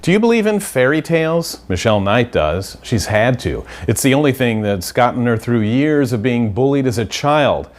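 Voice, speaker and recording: Lively male TV host. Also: mid-range voice, moderate pace, hardly any pauses. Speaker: TV show host. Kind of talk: scripted presenting.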